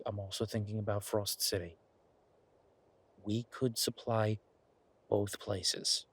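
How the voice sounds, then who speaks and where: A male actor voices a practical boyfriend in a roleplay audio drama, with a husky, mid-pitched voice. He explains things in a casual, thoughtful way, pausing as he works through his reasoning. The delivery is natural and conversational, like one side of a private talk. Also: no room sound. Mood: earnest, persuasive. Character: male werewolf boyfriend, practical restaurateur pitching a farm plan.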